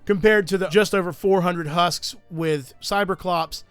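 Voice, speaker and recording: A man with a somewhat high voice talks through game loadouts in a careful, thorough way. He is still getting over a cold. Quiet music plays under his earnest, grateful commentary. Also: fairly even intonation, a little slow, clear, resonant tone, no echo. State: recovering from a cold. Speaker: gaming video creator. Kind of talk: gameplay commentary and channel talk.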